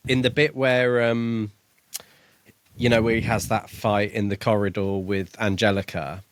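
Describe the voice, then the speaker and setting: A man co-hosting a casual book discussion podcast, thinking aloud in a tentative, halting way. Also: lowish voice, level tone, no room sound. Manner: tentative, musing, halting delivery. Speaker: podcast co-host.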